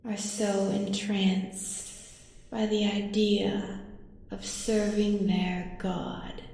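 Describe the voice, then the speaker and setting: A woman voicing an ancient, cruelly manipulative dark entity in an audio roleplay, speaking deliberately and menacingly, at times in whispers. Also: medium pitch. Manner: slow, deliberate, savouring menace, dropping into whispers. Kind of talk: scripted audio roleplay, ASMR drama. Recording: long cavernous reverb, faint music behind.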